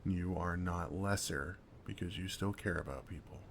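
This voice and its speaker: Kind older male vampire, acted by a man for comfort ASMR: deep, soft-spoken, gently teasing, faint noise behind. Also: moderate pace, creaky. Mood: earnest, firm comfort. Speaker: ASMR roleplay performer. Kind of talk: intimate ASMR roleplay, second-person comforting.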